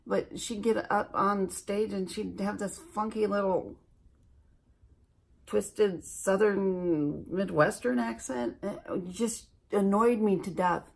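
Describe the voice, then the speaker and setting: A woman from Alaska chatting slowly and self-deprecatingly while doing her makeup for a beauty vlog. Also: mid-range voice, vocal fry. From Alaska, USA. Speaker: beauty vlogger.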